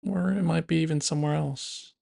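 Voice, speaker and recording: A man narrating a guided body scan meditation in a gentle, unhurried, instructive manner. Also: fairly high pitch, steady tone, creaky.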